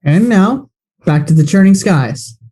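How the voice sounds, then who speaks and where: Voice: mid-range voice, animated, warm tone, slightly rough. A narrator introducing scenes and breaks in a radio play. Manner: measured, announcing.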